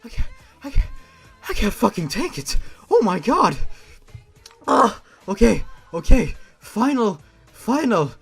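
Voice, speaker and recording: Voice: medium pitch, big pitch swings, slow, vocal fry, pauses often, breathy edge, faint music behind. A host giving casual comedic reaction commentary on amateur fiction, melodramatic and exasperated, swearing freely. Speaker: host of a fan fiction reading series.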